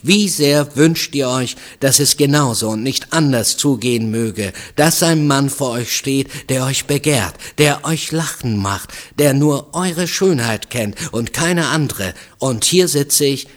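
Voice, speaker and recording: A man reading an earnest, naive male suitor in a story, pleading in an impassioned, dramatic way. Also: fairly low pitch. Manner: impassioned, imploring.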